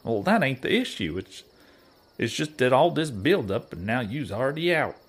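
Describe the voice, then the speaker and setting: A husky fussy cowboy. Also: male voice, mid-range voice, very animated, normal speed. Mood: flustered. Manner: twangy folksy drawl, indignant and flustered. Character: fussy bickering cowboy Lionel, worried about balding. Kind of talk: comedy western audio drama.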